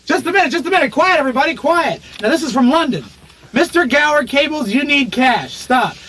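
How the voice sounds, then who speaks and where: Man acting a bustling high, bright-voiced townsman. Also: lively intonation, a little fast, slight background noise. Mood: announcing. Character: bustling townsman calling out to a festive crowd. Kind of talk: amateur radio play acting.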